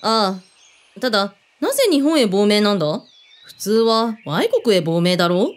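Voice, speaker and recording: An actress playing a brusque commanding boss of a covert team in an audio drama, speaking in casual, blunt masculine phrasing. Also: female voice, mid-range voice, expressive, normal speed, natural voice. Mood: dry, probing.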